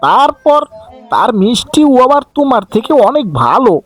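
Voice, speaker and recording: Woman as a grumbling thrifty village uncle, animated. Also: high voice, normal speed, slightly rough, faint music behind, heavily acted. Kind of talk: cartoon fairy tale acting.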